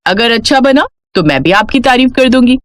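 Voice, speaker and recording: A woman's voice: fairly high pitch, animated, fairly quick, no echo, voice pushed up, close to an everyday voice. A woman voicing a vain, bossy young bride in a moral storybook tale, haughty as she orders her elders around. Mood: smug. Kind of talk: Hindi moral storybook character voice.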